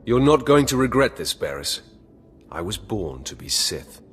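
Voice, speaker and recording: An actor playing an ambitious young Sith acolyte in a video game, cool, self-assured and formal. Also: male voice, lowish voice, sing-song, normal speed, a bit bright, breathy edge, exaggerated voice. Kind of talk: scripted video game drama acting.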